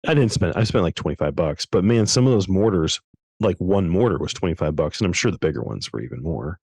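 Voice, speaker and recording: A man co-hosting a podcast in casual chat, talking in an animated way and restarting his sentences often. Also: sits low, quick pace, vocal fry, husky, dry recording. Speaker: podcast co-host.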